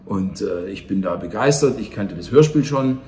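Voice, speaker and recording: A man's voice: fairly low pitch, animated, normal speed, dark tone. A middle-aged man talking casually as a guest in an interview. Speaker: theatre host and interview guest. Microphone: shared handheld interview microphone. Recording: echoey room, faint background noise.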